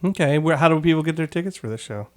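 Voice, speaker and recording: A man's voice: fairly high pitch, expressive, fast talker. A man hosting a casual interview in an informal, jokey, rambling way. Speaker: podcast host.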